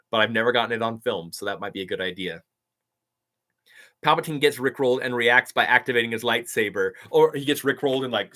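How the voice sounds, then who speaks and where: A man's voice: medium pitch, pushed and bright. A man who is a voice actor, chatting upbeat on a live stream and reading out viewers' comments. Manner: upbeat, chatty, quick to laugh. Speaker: YouTuber and voice actor.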